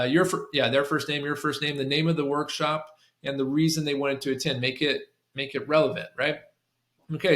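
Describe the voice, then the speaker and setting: A man giving an instructional walkthrough as a trainer, restarting phrases and often checking in with a quick question. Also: a little high, fairly bright tone. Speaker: sales call trainer for a clinic. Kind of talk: instructional screen-share walkthrough with demonstrated scripts. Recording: roomy, echoing room sound.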